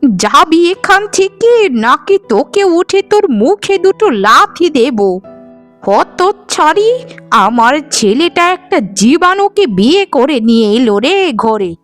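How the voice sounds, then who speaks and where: A woman's voice: high-pitched, big pitch swings, a little slow, a bit bright, soft background music, full character voice. A woman playing a bitter, self-pitying, ailing old mother-in-law in a melodramatic cartoon, drawing out her words. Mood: spiteful, cursing.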